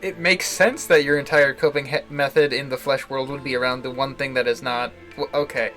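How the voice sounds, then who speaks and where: A man giving casual, halting live commentary on a visual novel, chuckling to himself as he rambles. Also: mid-range voice, steady tone, a bit bright. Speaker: game streamer, host. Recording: game music behind the voice. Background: video game music.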